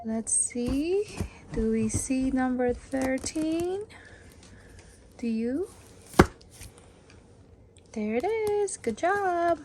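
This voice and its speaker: Woman reading slowly in a lively sing-song. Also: high-pitched, heavy background noise. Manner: sing-song, addresses children directly. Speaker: storytime read-aloud host. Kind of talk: picture book read-aloud for children.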